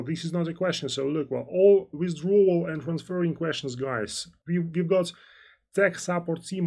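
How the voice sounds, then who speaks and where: A man's voice: on the high side, darkish tone. A man with a slightly raised voice hosts a live trading stream as a financial analyst. He talks in a loose, rambling way, stacking "so" and "well" and restarting his sentences as he answers viewers' chat messages between explanations.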